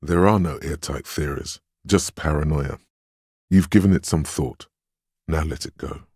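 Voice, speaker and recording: An extremely low, grainy male inner voice of willpower from a role-playing game. It is steadfast and grave, counselling in measured, reassuring phrases. The sound is close and dry, with a husky edge. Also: darkish tone, no echo, heavily acted. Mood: calm, steadying. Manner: measured, solemn, encouraging counsel. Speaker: video game voice actor.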